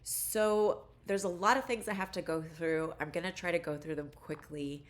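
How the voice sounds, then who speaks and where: A woman's voice: fairly low pitch, moderate pace. A woman in her forties, a college instructor, casually talking through a course introduction video, congested and stuffy from allergies, chatty and rambling with lots of fillers.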